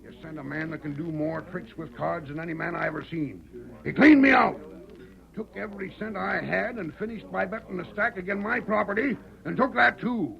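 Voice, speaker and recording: On a muffled vintage radio Western, an aggrieved small-time American gambling boss. His voice is high and heavily gravelly. He speaks in a rustic, hot-tempered way. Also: moderate pace. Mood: bitter, angry. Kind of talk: 1940s radio Western acting. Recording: dull old radio recording.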